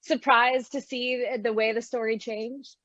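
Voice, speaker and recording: A woman's voice: fairly high pitch, steady tone, unhurried, fairly bright tone, no echo. Woman moderator, close dry slightly dull sound. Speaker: moderator of a panel conversation. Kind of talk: hosting a video panel interview. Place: online streaming video conversation.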